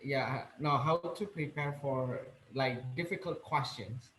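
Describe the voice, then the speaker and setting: A man moderating an online public speaking session and reading out questions, speaking haltingly and repeating words. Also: mid-range voice, fairly bright tone, reverberant, rough recording. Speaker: webinar moderator. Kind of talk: hesitant bilingual moderating.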